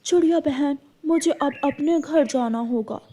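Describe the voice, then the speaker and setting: A woman's voice: sits high, a little slow, warm tone. A woman voicing a small, kind male firefly in a cartoon, earnest and courteous. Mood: friendly.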